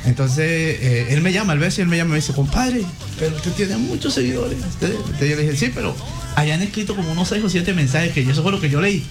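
A man, a singer telling his story in a casual interview, animated and quoting others. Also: fairly high pitch, quick pace, over music. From Chiriguaná, Cesar, Colombia. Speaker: singer guest.

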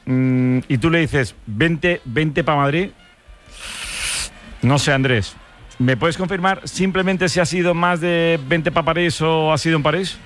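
A man's voice: on the high side, a little slow, a bit bright, soft background music. A man hosting a radio sports show, teasing a colleague with joking questions. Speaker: radio host. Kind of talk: lively sports radio banter.

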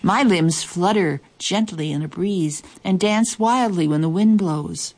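A woman voicing a tall old pine tree with a lively, gently challenging manner. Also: fairly low pitch, measured pace.

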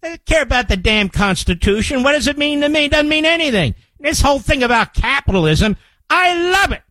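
A man's voice: very high voice, big pitch swings, fairly quick, sharp tone, dry recording. An older man with an American accent hosting a political talk radio show, emphatic and combative, repeating phrases to drive his point home. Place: radio studio. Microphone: close studio microphone.

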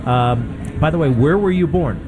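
A man hosting a casual live interview outdoors, talking in a halting, conversational way. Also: a little high, expressive. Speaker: interviewer, event host. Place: outdoors on a city avenue at a public event. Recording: noisy city street outdoors.

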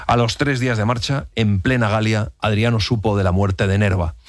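A man narrating a historical documentary in an even, authoritative storytelling manner. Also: on the low side, no echo, studio quality. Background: faint music.

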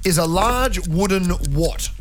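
A man's voice: high voice, slow, music in the background. A man hosting a radio quiz, chatty and playful in his banter with a caller.

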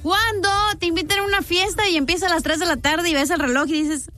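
A woman co-hosting a radio show, telling a quick, teasing joke. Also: very high voice, no echo, soft background music. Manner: lively, quick teasing remarks. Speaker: radio co-host. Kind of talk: joking radio banter.

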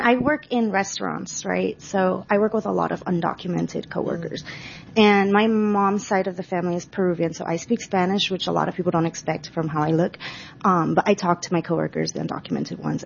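A woman asks a question at a live event, hesitating and looping back with "like" and "um." Her voice is low with a lot of vocal fry. The audio is dull, with a faint noise underneath. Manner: hesitant, rambling, full of "like" and "um". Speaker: audience member, restaurant worker. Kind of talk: asking a question from the audience.

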